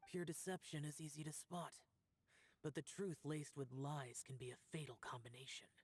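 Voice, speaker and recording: A man's voice: a little high, fairly even intonation, moderate pace, breathy edge. A man voicing a solemn, aloof young immortal demon-slayer in a fantasy game, speaking in a grave, guarded, restrained way. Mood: grave, resolute. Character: solemn, aloof young male immortal demon-slaying warrior burdened by karma. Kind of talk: scripted fantasy game acting. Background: background music.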